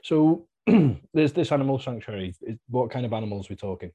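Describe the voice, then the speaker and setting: A man hosting a podcast, chatty and relaxed, with lots of fillers and easy laughs. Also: medium pitch, brisk. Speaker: podcast host. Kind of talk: casual interview chat.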